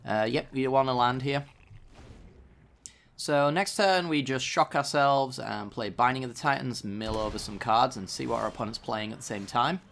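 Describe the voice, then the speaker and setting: A man's voice: medium pitch, normal speed, vocal fry, a bit bright, slight background noise. A man giving casual, chatty card game commentary with dry sarcasm, still getting over a tickly sore throat. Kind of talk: gameplay commentary.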